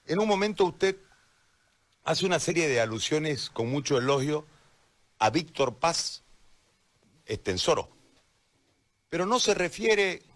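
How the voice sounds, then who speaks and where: A man's voice: on the high side, fairly bright tone. A man with a Bolivian accent interviewing as a journalist, conversational, circling hesitantly around his question and repeating words.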